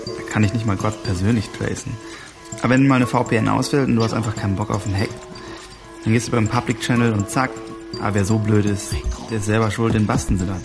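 A man's voice: lowish voice, fairly even intonation, fast. A man talking in a cocky, casual, slangy way in an interview. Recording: music under the voice.

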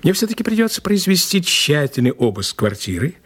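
A formal police inspector with a husky, rough-edged voice. He speaks in official, deferential phrases with plenty of rise and fall, as in a radio play. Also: on the high side, sing-song, a little fast, a bit bright, exaggerated voice. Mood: dutiful, cautious. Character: formal, dutiful male police detective inspector. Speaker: actor in an audio play. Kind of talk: Russian radio drama acting.

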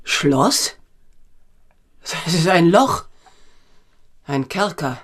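An actress playing a proud, bitter countess held prisoner, defiant and scornful in a radio play. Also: female voice, fairly low pitch, lively intonation, very slow, full character voice.

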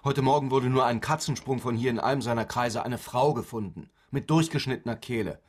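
An actor playing a pensive, quietly insistent middle-aged police commissioner in a German radio crime drama. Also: male voice, mid-range voice, a little fast, husky, dry recording, lightly acted. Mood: serious, insistent.

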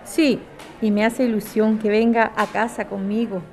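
A woman's voice: mid-range voice, little pitch movement, normal speed, a little mellow, faint music behind, natural voice. A woman with a Spanish accent from Spain playing a resolute older mother in a radio serial. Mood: calm, assured. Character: warm, resolute mother starting life with a companion. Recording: crowd chatter behind the voice.

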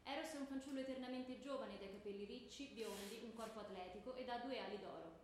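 An actress playing a stern, pedantic schoolteacher who lectures and quizzes pupils in comic radio theatre. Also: female voice, a little high, fast, natural voice. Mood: stern, brisk. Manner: pedantic, curt, reciting lists. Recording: echoey room, clearly noisy.

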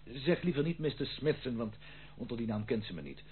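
A man's voice: mid-range voice, very animated, fairly quick, close to an everyday voice. An actor playing a roguish, secretive male intruder in a radio play, nonchalant, teasing and theatrical. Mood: cajoling. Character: roguish, secretive prodigal intruder, jaunty and sly. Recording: tinny old radio recording, faint noise.

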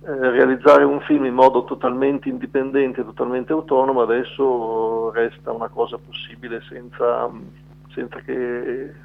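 Gravelly middle-aged man on a phone. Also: mid-range voice, little pitch movement, a little slow. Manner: hesitant, circling and self-correcting. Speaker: film festival selection curator, interview guest. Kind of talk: unscripted phone interview. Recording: thin, narrow phone line.

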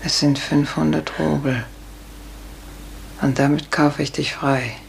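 Weary low-voiced older drinking aunt. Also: female voice, steady tone, creaky, full character voice. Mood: reading aloud. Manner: resigned, sardonic, measured. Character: weary, hard-drinking older Russian serf aunt, wry and consoling. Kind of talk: 1950s German radio play acting. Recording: echoey, hissy 1950s radio recording.

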